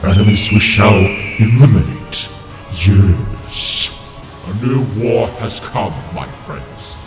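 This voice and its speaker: A man's voice: on the low side, lively intonation, slow pace. A man playing a villainous, scheming robot warlord in a comic dub, with a theatrical, gloating delivery. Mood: grandiose menace. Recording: echoey, loud music underneath. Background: loud music.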